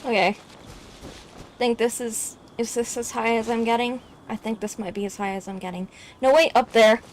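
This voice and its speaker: A woman giving casual, excitable gameplay commentary, exclaiming and talking to herself as she plays. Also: fairly high pitch, normal speed, slight background noise, low-quality recording. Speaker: game streamer.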